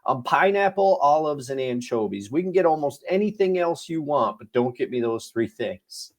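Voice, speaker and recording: A man's voice: on the high side, animated, moderate pace. A young man co-hosting a podcast, talking in an easygoing, emphatic way and laughing along. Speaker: podcast co-host. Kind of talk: casual podcast chat and review.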